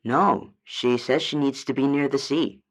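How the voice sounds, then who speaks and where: A woman's voice: a bass voice, steady tone, measured pace. A woman voicing a meek, hesitant dryad calling a radio advice show in an audio drama, unsure and trailing off. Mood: defensive, tired. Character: hesitant, meek female dryad, homesick for her forest.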